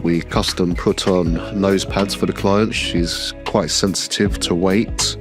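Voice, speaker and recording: A deep-voiced man talks slowly and easily through a vlog, a little nasal from a cold. Music plays clearly underneath. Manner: relaxed, enthusiastic product talk with fillers. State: has a cold. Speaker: optician showing a frame job. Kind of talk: casual workshop vlog narration.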